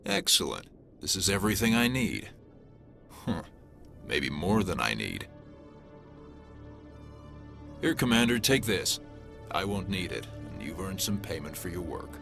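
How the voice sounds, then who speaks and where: A hard-nosed male alien detective in a video game. His deep voice has a gravelly fry, and he is brusque and pragmatic, with music clearly playing behind him. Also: sing-song, normal speed, pushed and bright, exaggerated voice. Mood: satisfied. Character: blunt, hard-nosed alien police detective, adult man.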